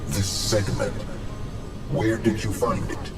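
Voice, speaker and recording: Slow, gravelly otherworldly guardian. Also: male voice, lowish voice, little pitch movement. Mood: urgent, calculating. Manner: cryptic, stilted, portentous. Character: solemn ancient otherworldly guardian of all realms, coldly logical. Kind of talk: formal dramatic video game acting. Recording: distant voice under loud music.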